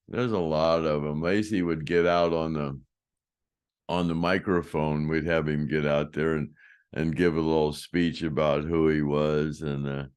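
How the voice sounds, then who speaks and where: Older man, deep voice, very low pitch, casual rambling storyteller. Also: clear, resonant tone. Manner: rambling, trailing off and restarting. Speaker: host, former band roadie.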